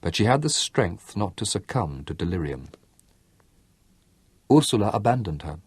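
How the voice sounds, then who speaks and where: A man reading a novel aloud as an audiobook narrator, measured and storytelling, with slow dramatic pauses. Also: lowish voice, big pitch swings, slightly rough, no echo. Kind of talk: scripted literary narration.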